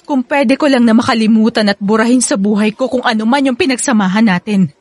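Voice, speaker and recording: A woman's voice: a little high, quick pace, a little mellow, dry recording, natural voice. An actress playing a young woman in a radio drama, emphatic and easily exasperated. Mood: exasperated, firm. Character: exasperated, firm young woman rejecting her ex-lover.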